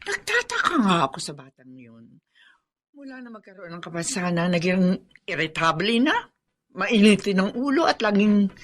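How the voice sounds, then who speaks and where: A woman's voice: mid-range voice, sing-song, moderate pace. An actress playing a domineering, scheming middle-aged stepmother with a Filipino accent in a radio drama, imperious and falsely sweet. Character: scheming, domineering villainous stepmother and lady of the house.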